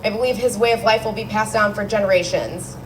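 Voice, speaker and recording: Daughter giving a heartfelt eulogy speech. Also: female voice, mid-range voice, fast, slight background noise, poor audio. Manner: heartfelt, wry storytelling. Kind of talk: memorial speech read aloud. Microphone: handheld microphone.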